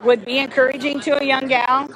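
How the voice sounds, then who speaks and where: A woman's voice: high voice, flat delivery, fairly quick, low-quality recording. A woman hosting chatty live interviews in a rambling, encouraging way. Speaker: interview host. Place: booth at a busy indoor trade show. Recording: busy crowd noise behind. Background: crowd chatter.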